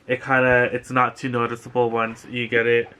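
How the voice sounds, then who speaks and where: A young man with a bright, forward voice chats straight to the camera from a living room couch. He gushes over each find in a loose, rambling way, with plenty of fillers. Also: mid-range voice, completely flat delivery, moderate pace, clean tone. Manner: gushing and enthusiastic, rambling with many fillers. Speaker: thrift haul vlogger. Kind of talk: casual haul vlog.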